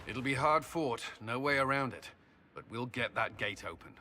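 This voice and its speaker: An actor playing a resolute, authoritative military commander in a fantasy video game. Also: male voice, mid-range voice, lively intonation, bright, forceful tone, slight background noise. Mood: resolute, businesslike. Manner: brisk, authoritative. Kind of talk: dramatic video game acting.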